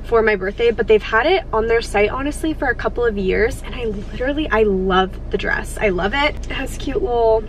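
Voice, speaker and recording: A young woman in her twenties chatting to camera from a parked car, sounding congested from a cold and gushing with excitement. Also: on the high side, fairly quick, few pauses, slight background noise, poor audio. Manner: gushing, upbeat, lots of "like" and "so excited". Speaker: lifestyle vlogger. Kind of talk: casual chat to camera. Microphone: camera on the dashboard.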